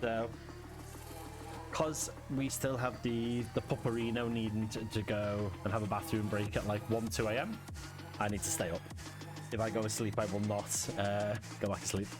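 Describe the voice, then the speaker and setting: Low-voiced Liverpool man streaming over music. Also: little pitch movement, moderate pace. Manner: chatty, thanks chat, frequent chuckles and fillers. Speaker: game streamer. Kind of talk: relaxed live-stream chat. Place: home. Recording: clear background music.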